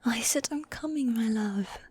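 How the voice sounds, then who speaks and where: A woman voicing a teasing, tender demon girlfriend in a medieval fantasy ASMR roleplay, speaking in soft breathy whispers with formal, old-fashioned phrasing. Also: on the high side, measured pace. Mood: affectionate teasing. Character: gothic demon girlfriend, teasing, tender, dominant yet needy.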